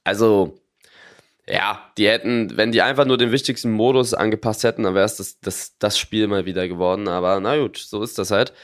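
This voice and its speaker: A man's voice: on the low side, steady tone, fairly quick. Enthusiastic gamer man on a podcast. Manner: enthusiastic gamer talk, fillers and restarts. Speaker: podcast co-host. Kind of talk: casual podcast chat.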